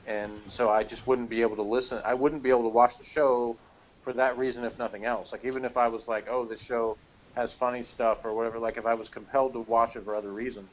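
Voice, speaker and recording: A man chatting casually as a guest reviewer, rambling and hesitant, picking through his thoughts in a measured way. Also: mid-range voice. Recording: muffled lo-fi call audio.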